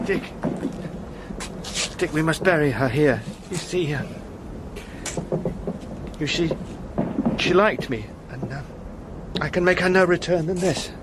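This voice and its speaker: A wry, loyal British officer. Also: male voice, sits high, background noise. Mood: grieving. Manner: steady and plain-spoken, with dry understatement. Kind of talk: radio drama acting. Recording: radio play with loud storm and battle effects.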